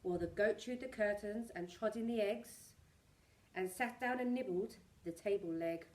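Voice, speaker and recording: A woman storyteller reading a rhyming picture book aloud to young children in an expressive way. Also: mid-range voice, normal speed, far from the mic.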